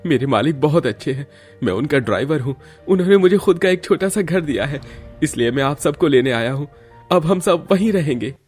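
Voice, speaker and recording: A man's voice: on the high side, lively intonation, fairly quick, a little mellow, husky, soft background music. A man playing a humble, polite working man in a cartoon family story, speaking earnestly. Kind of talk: Hindi cartoon story acting.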